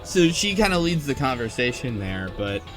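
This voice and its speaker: Man with lively pitch, chatting casually. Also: normal speed, faint music behind. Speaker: podcast host. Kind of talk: casual group discussion of a game.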